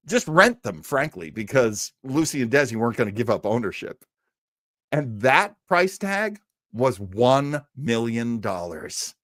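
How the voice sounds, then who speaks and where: A man's voice: on the high side, fairly bright tone, no echo, clean studio sound. An American man talking casually to livestream viewers as a show host, telling stories with a fan's enthusiasm and emphatic repetition. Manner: enthusiastic fan storytelling. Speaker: host of an old-time radio show; performer.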